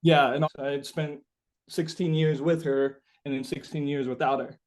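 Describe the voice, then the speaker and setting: A man's voice: a little high, steady tone, normal speed, darkish tone. A man being interviewed as a musician, talking casually and reflectively, thinking aloud in a rambling, philosophical way.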